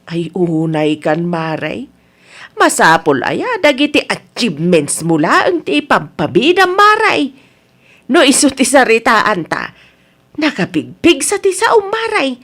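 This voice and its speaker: Lively high-voiced Ilocano Filipino neighbor woman acted. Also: a little slow, full character voice. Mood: reproving. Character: frank, reasonable female neighbor who reproves her gossiping friend. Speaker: radio drama actor. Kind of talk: radio drama acting in Ilocano.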